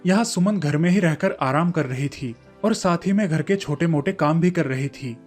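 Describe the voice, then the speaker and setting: A man's voice: a little high, moderate pace. A man narrating a scripted children's story as a storyteller. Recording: faint music under the voice. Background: soft background music.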